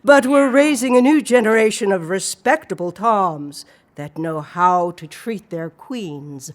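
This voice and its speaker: A woman playing a battle-scarred, protective cat who leads her village, speaking in a grand, measured, declamatory way in an audio drama. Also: medium pitch, lightly acted. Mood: proud, welcoming.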